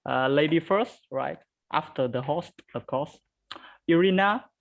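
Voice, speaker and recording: Young Vietnamese-accented man, creaky, hosting. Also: fairly high pitch, unhurried, phone-quality sound. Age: early 20s. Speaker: podcast host. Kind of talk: casual group talk moderating.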